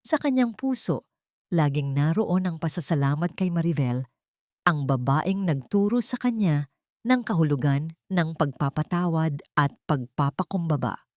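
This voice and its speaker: A woman's voice: deep voice, animated, a little slow, a little mellow, no room sound, studio quality. A woman with a Filipino accent reading a scripted dramatic story as its narrator.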